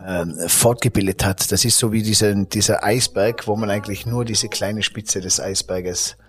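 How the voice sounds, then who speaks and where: Ein Mann mit österreichischem Akzent, der als Gastgeber im Gespräch lebhaft erzählt und oft nach Worten sucht. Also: male voice, lowish voice, moderate pace, faint music behind. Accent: Austrian German. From Austria. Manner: lively, searching for words with fillers and restarts. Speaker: podcast host. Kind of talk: casual interview talk.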